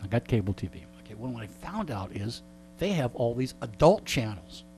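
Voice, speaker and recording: A man's voice: mid-range voice, big pitch swings, fairly quick, mellow, a little hiss or hum. A man telling stories in casual comedic banter, joking and mock-indignant, acting out bits of dialogue. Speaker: radio host being interviewed.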